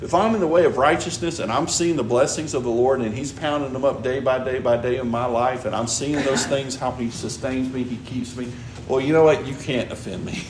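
A man's voice: mid-range voice, darkish tone. A man preaching a sermon in a conversational way, often checking in with "all right?" and "you know". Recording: echoey room with background noise.

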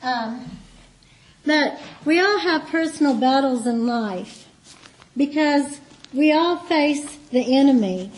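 A woman with a light voice giving a chatty church teaching as a guest speaker, telling stories with soft asides. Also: sits high, lively intonation, takes it slowly, a little hiss or hum, poor audio. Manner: warm, chatty storytelling with soft laughs and asides. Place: meeting room with a board.

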